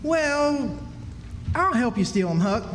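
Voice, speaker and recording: A man's voice: big pitch swings, slow, fairly bright tone, exaggerated voice. A man playing a skeptical but loyal country boy in a radio play, folksy and exclamatory. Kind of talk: stage radio drama acting. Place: live stage in a hall. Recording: reverberant hall, rumbling noise.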